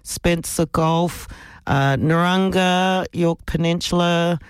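A man from South Australia with an Australian accent, hosting community radio and speaking live in a measured, deliberate way, phrase by phrase. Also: fairly high pitch, fairly even intonation, clean tone, no echo. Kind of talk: live radio welcome and acknowledgement of country. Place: radio studio.

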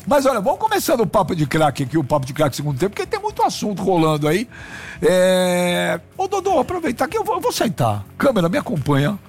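High-swinging, boisterous Brazilian sports host. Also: male voice, wildly swooping pitch, fast. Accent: Brazilian Portuguese. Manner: boisterous, teasing, slangy. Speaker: host of a football talk show. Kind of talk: lively sports banter.

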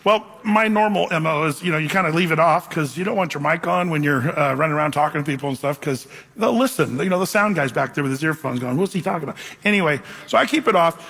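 Pastor, middle-aged man, bright husky voice, rambling storyteller, reverberant hall. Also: fairly high pitch, quick pace. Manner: casual, rambling, fillers like "man" and "you know". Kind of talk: sermon with storytelling. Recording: long hall reverb, faint music.

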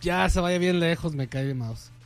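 A man, co-hosting a radio show and cracking quick jokes as the sidekick. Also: on the high side. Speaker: radio co-host. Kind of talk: joking radio banter.

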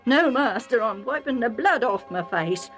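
A woman voicing an excitable male herdsman with rustic working-class speech, agitated and insistent, pleading with his master. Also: on the high side, normal speed, soft background music, voice pushed up.

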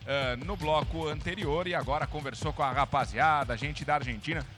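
High-voiced Brazilian sports host over music. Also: male voice, moderate pace. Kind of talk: live sports broadcast presenting. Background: music bed.

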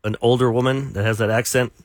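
A man's voice: mid-range voice, fairly even intonation, fast, no room sound. A man from Chicago co-hosting a radio show, telling a rambling story that trails off. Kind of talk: casual on-air chat.

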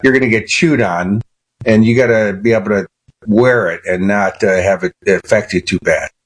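A man with a Chicago accent, a guest on a radio interview, telling stories in an animated, conversational way full of "you know" and restarts. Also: lowish voice, moderate pace, rough recording. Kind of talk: casual interview.

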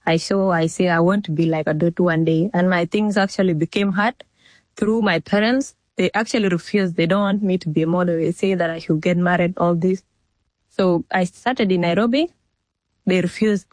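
A woman's voice: lowish voice, moderate pace, darkish tone, no room sound. A nineteen-year-old woman with a South Sudanese accent answering interview questions frankly, repeating phrases for emphasis. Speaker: fashion model, interviewee.

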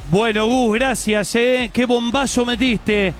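A man with an Argentine accent hosting a live sports radio show, talking fast and emphatically. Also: very high-pitched, fairly even intonation, faint music behind. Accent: Argentine Spanish.

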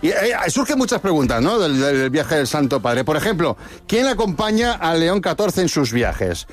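Playful Spanish radio interviewer, slightly high-voiced. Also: male voice, sing-song, moderate pace. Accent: Spain. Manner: plays along, echoes and prompts. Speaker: radio show contributor interviewing comic characters. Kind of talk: mock interview, playful chat.

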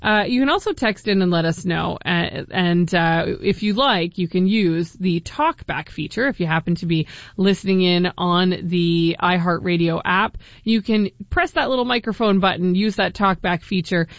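A woman's voice: on the low side, moderate pace, few pauses, no room sound, highs cut off. Chatty radio host woman, dry studio. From Canada. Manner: chatty, asks listeners questions, frequent fillers. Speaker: radio talk show host. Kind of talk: call-in radio talk. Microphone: studio microphone.

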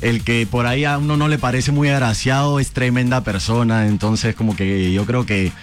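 A man's voice: mid-range voice, steady tone, normal speed, faint music behind. A young man from Peru with a Peruvian Spanish accent, chatting earnestly as a guest on a talk show. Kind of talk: casual chat.

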